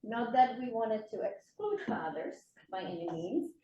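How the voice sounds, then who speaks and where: A woman's voice: on the high side, big pitch swings, a little slow, a little hiss or hum. A middle-aged woman from the Czech Republic with a Czech accent, a nutrition professor giving a keynote lecture in a lecture room, talking with many fillers and light asides. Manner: many fillers, warm self-deprecating asides. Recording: dull lo-fi sound.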